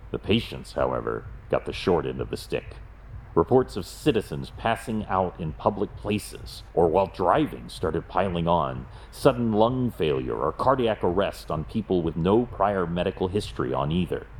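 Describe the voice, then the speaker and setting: A very deep-voiced, grim vigilante detective, played by a man in an audio drama. He speaks gravely and deliberately, with resolute weight and plenty of movement in his low pitch. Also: very animated, slight background noise, poor audio. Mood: grim, factual.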